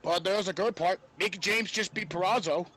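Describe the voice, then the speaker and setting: A man talking casually as a podcast panelist, halting and repeating himself as he goes. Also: sits high, fairly bright tone, slight background noise. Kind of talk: casual sports chat.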